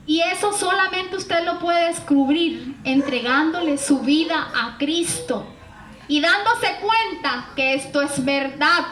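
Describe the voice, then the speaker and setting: A woman's voice: very high voice, pushed and bright, roomy sound, a little hiss or hum, low-quality recording. A woman delivering an earnest, emphatic evangelical sermon straight to the listener, with rhetorical questions and repeated phrases. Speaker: preacher.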